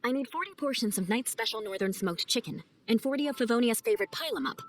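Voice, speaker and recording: A woman's voice: a little high, lively intonation, fast talker, vocal fry, a little hiss or hum. A woman voicing a proud, aloof young knight captain in a video game, speaking formally and matter-of-factly. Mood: businesslike. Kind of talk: game cutscene voice acting.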